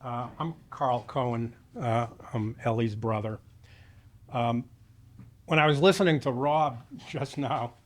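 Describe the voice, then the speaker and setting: An older man from Boston, in his seventies, speaks at a memorial in a medium-pitched voice. He delivers his tribute as conversational storytelling, pausing to check in with rhetorical questions. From Boston, United States. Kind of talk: informal eulogy speech.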